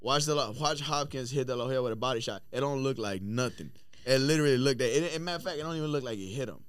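A man's voice: medium pitch, fast, clean tone, dry recording. A man who coaches boxing, talking casually as a podcast guest in a studio, slangy and emphatic with some swearing. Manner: slangy, profane, emphatic, often says "bro". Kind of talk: casual chat.

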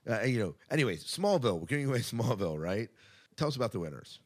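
A man's voice: medium pitch, sing-song, quick pace, slightly rough. A man hosting a podcast in a chatty, casual way, part scripted and part off the cuff, with upbeat announcing. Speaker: podcast host.

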